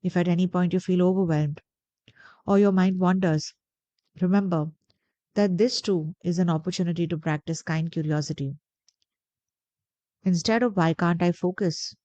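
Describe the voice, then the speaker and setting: A woman guiding a meditation in a gentle, deliberate, soothing way, pausing between phrases. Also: on the low side, steady tone, no room sound. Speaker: meditation guide. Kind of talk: guided meditation narration.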